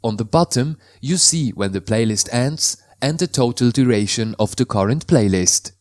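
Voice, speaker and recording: A man from Switzerland, a native Swiss German speaker with a Swiss German accent, calmly explaining how to install and use software in a tutorial voice-over, with slightly non-native phrasing. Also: medium pitch, normal speed, dry recording. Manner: careful, plain instructional delivery in slightly non-native English.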